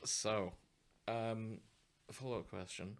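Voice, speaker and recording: A man's voice: lowish voice, fairly even intonation, takes it slowly. A man co-hosting a podcast in casual, jokey banter with friends, often teasing them. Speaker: podcast co-host. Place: indoors, three people in one room.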